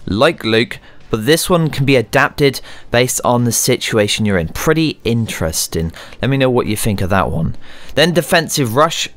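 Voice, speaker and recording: A man with a mid-pitched voice delivers gaming news commentary as a channel host. He talks straight to his viewers in an informal way, moving between prepared script and his own remarks. The voice-over is close and dry, with very little room sound. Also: a bit bright, no room sound. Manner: addresses viewers directly, informal.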